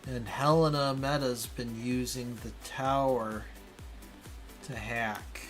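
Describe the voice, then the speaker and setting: Guy reading a game story out loud with casual comments, some music behind him. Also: mid-range voice, fairly even intonation, slow. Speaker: streamer reading a visual novel aloud. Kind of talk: dramatic read-aloud with casual commentary.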